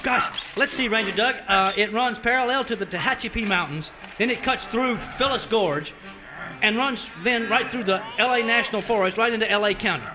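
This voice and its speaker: An actor playing an eager, brainy cowboy sidekick, excitable and earnest, in a parody of an old radio serial. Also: male voice, sits high, fairly quick, natural voice.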